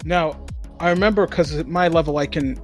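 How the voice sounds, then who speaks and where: Male tabletop player, slightly high voice, informal joking chat, faint music. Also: normal speed. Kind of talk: casual game-table chat.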